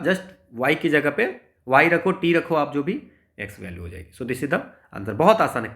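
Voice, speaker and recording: A man's voice: fairly high pitch, a bit bright. A man with an Indian accent teaching informally as a maths tutor, explaining problems step by step and often checking in with the listener. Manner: explanatory, with frequent check-in tags. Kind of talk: informal exam-prep lesson, working through problems step by step.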